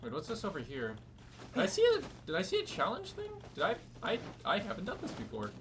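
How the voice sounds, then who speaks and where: A man's voice: sits high, huge pitch swings, fast, noisy background. A man doing casual gameplay commentary as a streamer, with wry muttered asides and swearing. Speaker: game streamer. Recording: loud game music and effects behind.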